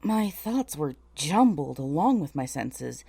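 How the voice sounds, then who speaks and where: A woman's voice: deep voice, big pitch swings, takes it slowly, gravelly, dark tone, breathy edge. A woman voice actor reading dramatic first-person story narration in takes.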